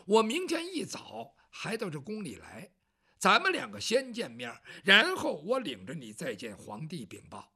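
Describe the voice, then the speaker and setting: Brief: a storyteller plays a deep-voiced old statesman, slow and firm. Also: wildly swooping pitch, sharp tone, breathy edge, heavily acted. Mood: instructive. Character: elderly prime minister, fatherly and firm.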